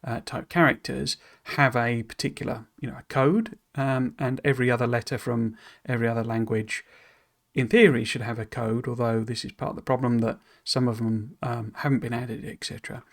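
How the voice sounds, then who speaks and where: A man's voice: medium pitch, steady tone, moderate pace, vocal fry. A man hosting a stream who reads a game developer's blog post aloud and then explains it casually. His asides are loose and hesitant, full of "you know" and restarts, and he is self-deprecating about pronouncing foreign words.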